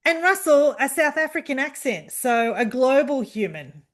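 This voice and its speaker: A woman's voice: high-pitched, sing-song, moderate pace. A woman hosting a book interview in a casual, conversational way. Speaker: book show host.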